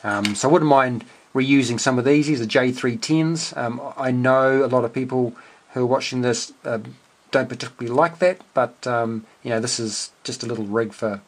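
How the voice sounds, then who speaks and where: A middle-aged man talks through his hobby at a workbench in a small room. He is casual and understated, often pausing to think aloud, with a medium-pitched voice picked up a short distance from the camera. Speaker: amateur radio hobbyist and video host. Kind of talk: casual unscripted workbench explanation. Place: small indoor room at a cluttered desk workbench.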